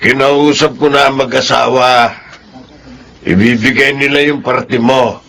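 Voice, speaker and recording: A man acting an ailing elderly father and businessman in a Filipino radio drama, patient but firm, with a gravelly voice. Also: medium pitch, fairly even intonation, a little slow, fairly bright tone. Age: older.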